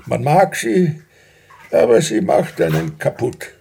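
An actor playing a weary, stubborn police detective of sixty-one in a radio drama, with halting, grumbling speech. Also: male voice, fairly high pitch, sing-song, creaky, mellow, husky, heavily acted. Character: weary 61-year-old male police detective, stubborn, defensive. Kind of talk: naturalistic radio drama dialogue.

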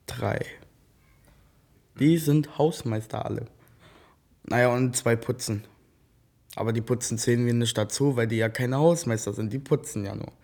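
A man's voice: medium pitch, moderate pace, vocal fry, long pauses, a little mellow. A young man from Berlin, talking loosely and jokingly in casual slang as the subject of a documentary. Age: young adult. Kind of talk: casual street chat.

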